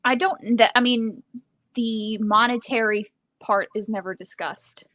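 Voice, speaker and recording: A woman's voice: fairly high pitch, steady tone, unhurried, a bit bright, no room sound. Wry woman, dry close sound, chatting. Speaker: book podcast co-host. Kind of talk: casual book discussion.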